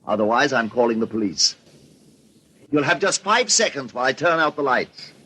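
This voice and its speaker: An actor playing an indignant, commanding, wealthy party host in a 1940s radio mystery. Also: male voice, mid-range voice, very animated, moderate pace, sharp tone, slightly rough, heavily acted. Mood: stern, challenging. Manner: commanding, stern, theatrical delivery. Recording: vintage 1940s radio recording with noise.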